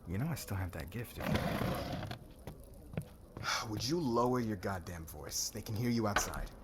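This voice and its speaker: A man's voice: on the low side, lively intonation, moderate pace, heavy background noise, lightly acted. A man playing a cocky young warrior suitor in an ASMR roleplay, with a slangy, brash, guarded way of talking. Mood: irritated, cocky.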